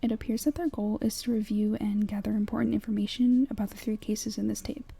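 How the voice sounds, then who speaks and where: A young woman narrating a scripted horror breakdown in a soft, breathy, hushed whisper, telling it in a measured way. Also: mid-range voice, steady tone.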